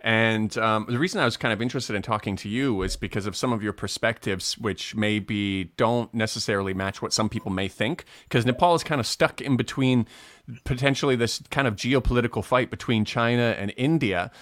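Low-voiced man hosting a video call chat. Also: a little fast, fairly bright tone, dry recording. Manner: hesitant, many restarts, laughs often. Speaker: host.

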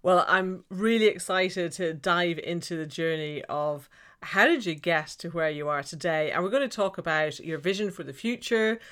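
An Irish woman with a slightly low voice hosts the conversation. She asks her questions in an encouraging way and laughs easily between them. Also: moderate pace, a bit bright. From Ireland. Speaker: interview host, coach. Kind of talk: conversational interview.

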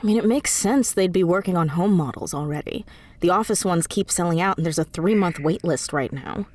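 A woman's voice: fairly low pitch, fairly quick, darkish tone, close to an everyday voice. An actress playing a matter-of-fact female teammate in an audio drama. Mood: thoughtful.

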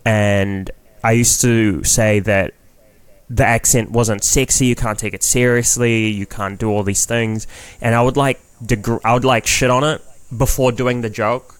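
A man with a New Zealand accent talks on a podcast as a guest comedian. His voice sits at an ordinary middle pitch. He speaks frankly, swears freely, and trails into restarts as he rambles through his point. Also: steady tone, unhurried, clear, resonant tone, dry recording. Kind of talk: casual podcast chat.

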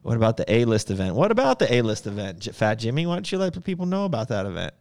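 A man's voice: medium pitch, big pitch swings, very fast, vocal fry, a little mellow. A man co-hosting a casual livestream, reading viewer comments with a playful, laughing manner. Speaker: co-host of a brand livestream.

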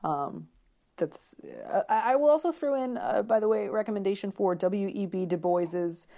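A woman speaking as an interview guest in a conversational way, searching for words with many pauses and repeats. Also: mid-range voice, dark tone.

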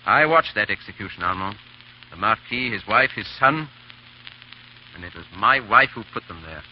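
Man acting a daring English gentleman, very animated, muffled old radio. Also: fairly low pitch, moderate pace, close to an everyday voice. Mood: grave, anguished. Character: daring English baronet secretly rescuing victims, posing as foppish dandy. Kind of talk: 1930s radio drama acting. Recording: muffled, hissy 1930s radio recording.

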